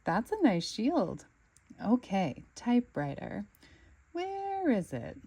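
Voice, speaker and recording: A woman voicing a calm, kindly girl puppy in a storybook, gently encouraging. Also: on the high side, takes it slowly, breathy edge, heavily acted. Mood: gentle.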